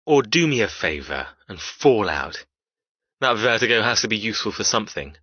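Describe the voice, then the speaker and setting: A man performs a cold, cruel captor in a dramatic audiobook. His voice is tense and forceful, clipped and sneering, with a controlled threat in it. The sound is very dry and slightly dull. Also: on the low side, very animated, moderate pace, breathy edge, no echo, heavily acted. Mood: taunting. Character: cold, menacing male captor, cruel and taunting.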